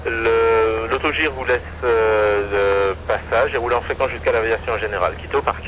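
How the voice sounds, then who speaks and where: A man working as an air traffic controller, giving instructions over aviation radio with hesitant, halting phrasing. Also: mid-range voice, highs cut off. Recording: noisy aviation radio with aircraft drone.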